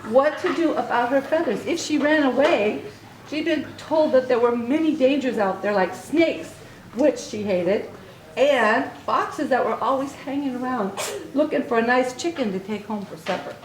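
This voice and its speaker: A woman reading a story aloud to young children in an expressive way, with little asides to her listeners. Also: high voice, moderate pace. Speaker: children's author reading own book aloud. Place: book signing event in an echoey room. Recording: echoey room recording with clear noise.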